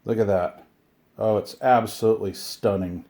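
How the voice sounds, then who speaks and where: A man's voice: fairly low pitch, fairly even intonation, normal speed, mellow. Casual middle-aged man, rambling and chatty. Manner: rambling, chatty, self-deprecating grumbling. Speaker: video host unboxing radio gear. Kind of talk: casual unboxing chat to camera.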